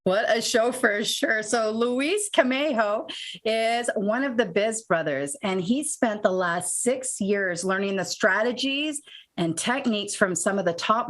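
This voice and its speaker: A woman co-hosts a podcast and introduces her guest with plenty of enthusiasm. Her voice is at a middle pitch, and she laughs often as she talks. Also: fairly bright tone. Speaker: podcast co-host.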